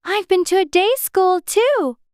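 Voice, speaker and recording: A storybook narrator voicing a curious, polite little girl who is eager, prim and quick to take offence. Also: very high voice, no echo, studio quality, pitched above the performer's own voice. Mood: earnest.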